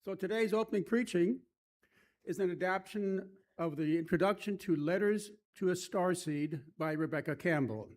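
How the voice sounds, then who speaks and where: A man leading a church service, giving a reflective reading in measured, deliberate phrases with frequent pauses. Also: high-pitched, darkish tone. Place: church service, speaking to a congregation.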